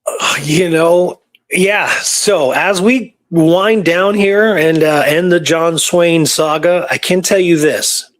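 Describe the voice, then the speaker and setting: Higher-pitched man chatting, close dry sound. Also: takes it slowly, dry recording. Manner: animated storyteller, often tags points with "right?". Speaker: show co-host and history researcher. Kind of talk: casual chat.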